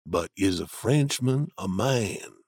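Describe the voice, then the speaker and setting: A man reading an audiobook, voicing a stubborn grown man who argues slowly and insistently from common sense. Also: low-pitched, expressive, darkish tone, no echo, pitched below the performer's own voice, heavily acted. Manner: slow, insistent, arguing.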